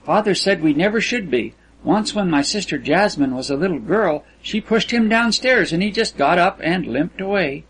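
A man's voice: sits high, lively intonation, normal speed, few pauses, a bit bright, slight background noise, pitched above the performer's own voice, low-quality recording. A man voicing a sweet, naively vain rich teenage girl with earnest, artless chatter, reading from a story. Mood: proud, chatty.

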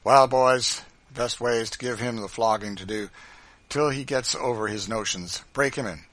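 A man's voice: medium pitch, moderate pace, a bit bright, no echo, natural voice. A man voicing a cruel, domineering plantation master in an audiobook, coarse and commanding.

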